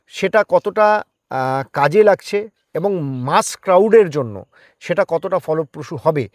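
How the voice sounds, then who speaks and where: High-pitched man, explaining. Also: dry recording, studio quality. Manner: explanatory, mixes English words into speech. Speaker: guest, part-time journalist. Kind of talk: conversational interview answers.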